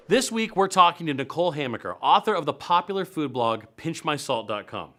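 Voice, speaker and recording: A man's voice: a little high, expressive, a little fast, a bit bright, slight background noise. A man hosting a web show in a scripted, playful comedic style, leaning on words for emphasis. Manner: playful, punchy delivery with emphatic stretched words. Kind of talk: upbeat scripted comedic news and events presenting.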